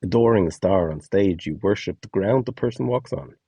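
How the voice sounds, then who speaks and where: A man's voice: on the low side, brisk, creaky, warm tone, breathy edge, no echo. A man reading scripted instructions and short scene prompts as the narrator of a guide.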